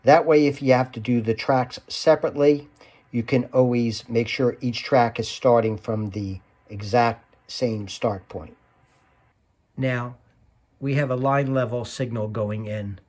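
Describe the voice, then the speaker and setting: A man with a mid-pitched voice narrates a tutorial at a slow, measured pace. He is plainspoken and takes the listener through instructions one step at a time. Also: steady tone, darkish tone. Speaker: tutorial host.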